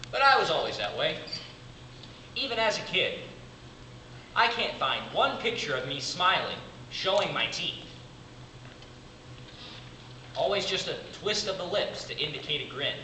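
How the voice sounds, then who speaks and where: A man acts out a devoted, weary big brother in a theatrical monologue. His voice is fairly high and swings widely, with deliberate pauses between short phrases. It is heard from a distance over clear noise in an echoey room. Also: sharp tone. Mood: uneasy, reflective. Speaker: amateur drama club actor.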